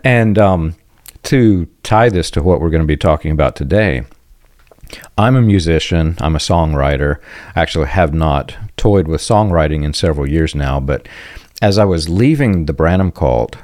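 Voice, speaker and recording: A very low-pitched man hosts a podcast in a casual, reflective tone, pausing to clear his throat now and then. The recording is dry and close, with no room sound around him. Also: animated, a little mellow, no room sound. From United States. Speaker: podcast host.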